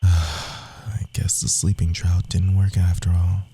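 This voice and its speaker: A man playing a sly, cocky rogue assassin in a fantasy audio roleplay, speaking softly and theatrically, often dropping into whispers. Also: flat delivery, slow pace, heavily acted. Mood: smug, teasing. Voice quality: low, gravelly, husky.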